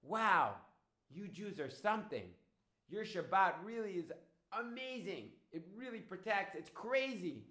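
A man voicing a bossy, hot-tempered woman in an oral story, loud, demanding and exclamatory. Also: a little high, brisk. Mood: amazed. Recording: echoey room.

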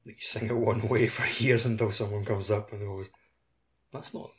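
Lower-pitched man in casual chat. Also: fairly even intonation, normal speed. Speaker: podcast co-host. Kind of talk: casual gaming podcast chat.

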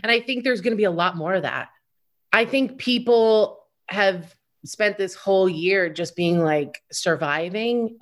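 A woman in her thirties speaking as a guest in a casual podcast chat, outspoken and telling stories with acted-out quotes. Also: fairly low pitch. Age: 30s. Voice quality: loud voice. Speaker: interview guest, wedding business owner.

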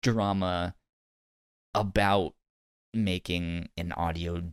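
A man co-hosting a podcast, chatting casually in a halting way and often repeating words as he goes. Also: low voice, fairly even intonation, smooth, no echo, studio quality. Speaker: podcast co-host. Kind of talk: casual podcast review chat.

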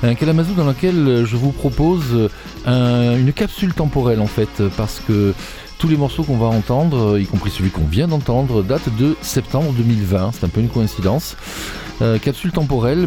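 A man's voice: mid-range voice, expressive, moderate pace. A man hosting a radio music show, talking off the cuff in a hesitant, digressive way with frequent reformulations. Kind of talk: unscripted radio presenting between songs. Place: radio studio.

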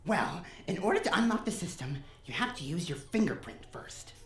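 A woman's voice: fairly low pitch, expressive, moderate pace, lightly acted. A woman acting in an audio drama as an intense, persuasive captive child, speaking earnestly and urgently. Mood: eager. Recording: roomy, echoing student audio drama.

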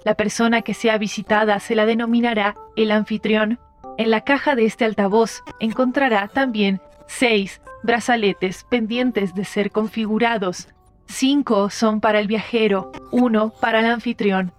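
A woman voicing a polite, impersonal automated device assistant, giving scripted instructions in a formal, measured way for an audio drama. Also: on the high side, steady tone, soft background music, natural voice. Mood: neutral, courteous.

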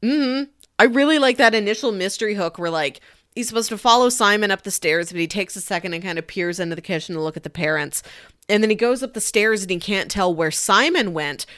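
A woman's voice: mid-range voice, expressive, a little fast, a bit bright, no echo, studio quality. A woman co-hosting a podcast, chatting casually about a film and acting out bits of the story as she retells it. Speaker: podcast co-host.

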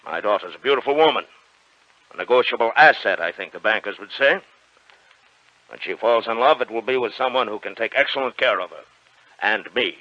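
A man's voice: fairly low pitch, lively intonation, brisk, long pauses, no room sound. An actor playing a cold, greedy, middle-aged rich father in an old radio drama, sneering and dismissive. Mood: mocking. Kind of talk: 1940s radio acting. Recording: dull lo-fi old radio recording.

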